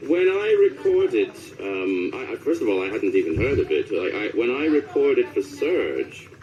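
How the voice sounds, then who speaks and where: A man's voice: mid-range voice, big pitch swings, normal speed, a little mellow, low-quality recording. A man chatting casually as a voice actor being interviewed.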